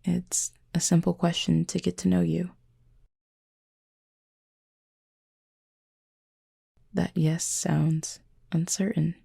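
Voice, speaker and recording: A woman playing a gentle, formal, wounded cupid in an intimate audio roleplay, speaking softly in measured, breathy phrases. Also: on the low side, flat delivery, full character voice. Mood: curious, wistful. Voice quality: husky, breathy, creaky. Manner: measured, formal, soft-spoken with breathy pauses.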